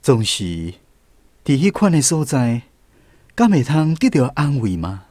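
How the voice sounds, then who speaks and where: A man's voice: medium pitch, very animated, a little slow, a little mellow, no room sound. A man acting in a Taiwanese radio drama as an earnest, troubled lost soul who questions and ponders as he searches for peace. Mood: doubtful, searching. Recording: music bed under the voice.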